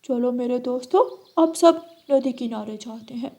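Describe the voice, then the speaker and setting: Cartoon schoolboy crow played by a woman, very high, muted tone, cheeky schemer with a whiny, eager edge. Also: measured pace, mellow. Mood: gleeful.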